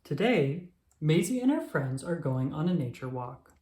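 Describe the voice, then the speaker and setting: A woman reading a children's storybook aloud with expressive, animated storytelling and emphatic exclamations. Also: sits low, moderate pace. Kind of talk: scripted read-aloud narration for young children. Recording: roomy, echoing sound.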